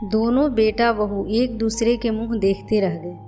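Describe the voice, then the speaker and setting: A woman's voice: on the high side, unhurried, mellow, faint music behind. A woman narrating a scripted moral family story.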